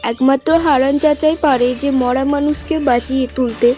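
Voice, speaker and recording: A woman's voice: high voice, steady tone, a little fast, dark tone, soft background music, natural voice. A woman voicing a stubborn, devoted village girl in a cartoon, insistent and earnest. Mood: pleading, determined. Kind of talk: cartoon voice acting, dramatic dialogue.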